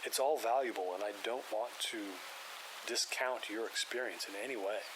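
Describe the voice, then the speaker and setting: A man playing a calm, skeptical paranormal investigator in an audio drama, explaining in a measured, clinical way. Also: lowish voice, natural voice. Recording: hiss and rain noise.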